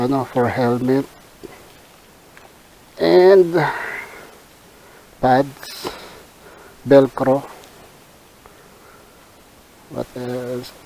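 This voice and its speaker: A Filipino man talks through a product in a soft-edged, relaxed tone. His delivery is unhurried and halting, as if he is thinking aloud while he reviews. A faint hiss sits behind his voice. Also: medium pitch, creaky, low-quality recording. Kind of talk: casual unboxing commentary. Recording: hissy background noise.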